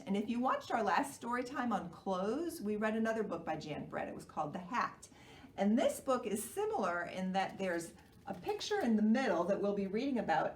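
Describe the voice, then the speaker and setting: An American woman presenting a children's storytime in an animated way, explaining simply and asking young children questions. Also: mid-range voice. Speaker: children's library storytime host. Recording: roomy, distant microphone, faint noise.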